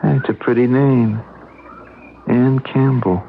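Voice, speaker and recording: A man playing a shy, earnest, lovestruck young man in a radio drama, halting and stammering. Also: medium pitch, steady tone. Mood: shy, smitten. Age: young adult. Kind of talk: radio drama acting and narration. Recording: tinny, noisy old radio recording.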